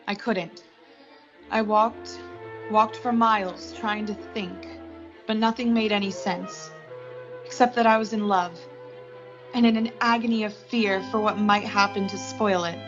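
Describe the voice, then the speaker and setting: A woman's voice: mid-range voice, close to an everyday voice. A young woman playing an anxious, lovestruck office worker in a 1940s-style suspense radio drama, dramatic and pleading. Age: young adult. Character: anxious lovestruck female office worker narrating her ordeal. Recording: dull lo-fi audio, music behind.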